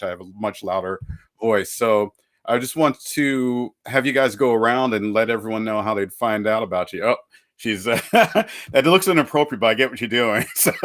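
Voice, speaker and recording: A man moderating a live roundtable on a video call, openly emotional and encouraging toward the guests. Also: lowish voice. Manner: warm-hearted, emotional, encouraging.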